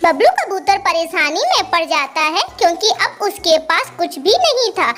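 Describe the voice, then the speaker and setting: High-voiced woman narrating a children's story. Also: big pitch swings, normal speed, a bit bright, soft background music.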